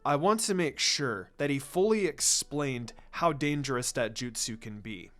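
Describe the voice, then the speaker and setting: A man voicing a serious adult ninja mentor in a fan fiction reading, calm, stern and deliberate as he explains. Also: mid-range voice, faint music behind, pitched below the performer's own voice, natural voice.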